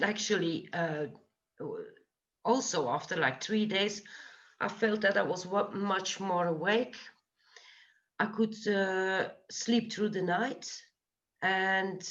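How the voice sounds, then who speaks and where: A woman sharing a personal testimonial on a video call, earnest and hesitant, often pausing to restart. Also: mid-range voice, lo-fi sound. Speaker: guest giving a testimonial. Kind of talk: casual video call chat.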